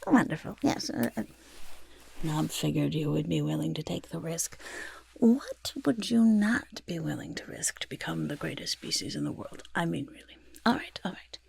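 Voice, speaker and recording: A woman voicing a boastful, eager goblin in a soft-spoken ASMR roleplay, with a raspy half-whisper and fussy, repetitive phrasing. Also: deep voice, expressive, moderate pace, full character voice. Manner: speaks of self in third person, fussy, emphatic repetition. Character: boastful, eager goblin potion-peddler of playful temper.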